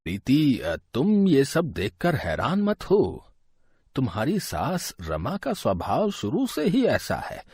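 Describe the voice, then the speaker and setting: An actor playing a kindly, easygoing older father-in-law with a husky voice, affectionate and reassuring, in a family story. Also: mid-range voice, wildly swooping pitch, slow pace, darkish tone, no room sound, full character voice. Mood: reassuring, apologetic.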